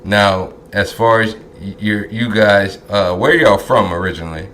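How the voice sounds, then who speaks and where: A man hosting a podcast interview, talking easygoing with lots of filler pauses. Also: fairly low pitch, fairly bright tone, slight background noise. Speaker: podcast host. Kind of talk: casual interview chat. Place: Dallas.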